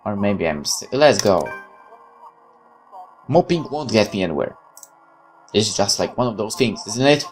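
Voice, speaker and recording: Low-voiced man reading game, lively pitch swings. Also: normal speed, pauses often, soft background music. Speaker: gaming video host. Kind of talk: reading game text aloud with casual commentary.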